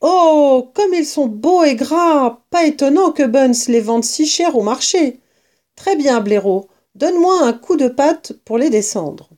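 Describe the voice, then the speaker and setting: A jovial, scheming fox father with an exuberant, commanding manner, as a woman reading a storybook puts him on. The voice sits high and lively, with a mellow, soft tone. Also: measured pace. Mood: greedy delight.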